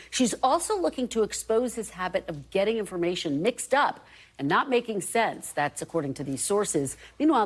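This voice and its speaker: A woman anchoring a television news show, with crisp, brisk broadcast delivery as she interviews and introduces segments. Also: mid-range voice.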